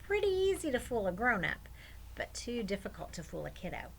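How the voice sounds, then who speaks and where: Woman, children's storyteller, lively varied pitch, expressive reading, chatty asides, slight noise behind. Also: fairly quick, gravelly, low-quality recording.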